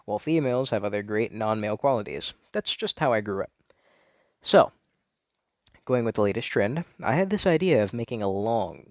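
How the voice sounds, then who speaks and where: A man's voice: medium pitch, no echo, lightly acted. A man acts out an insecure, traditional-minded male gamer telling his story as a melodramatic first-person confession. He is earnest and theatrical, dragging out key words and turning indignant as he tries to convince himself. The voice is recorded close and dry. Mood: wounded, defensive.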